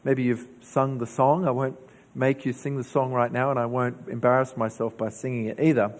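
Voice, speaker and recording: A man's voice: mid-range voice, level tone, normal speed, darkish tone. Australian man preaching in a reverberant church. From New South Wales, Australia. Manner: conversational, asks the congregation questions. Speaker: pastor. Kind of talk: sermon. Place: church hall.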